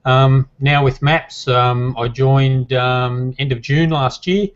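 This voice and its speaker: Man, relaxed and um-filled. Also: mid-range voice, slow, highs cut off. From Australia. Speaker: guest member. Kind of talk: casual testimonial.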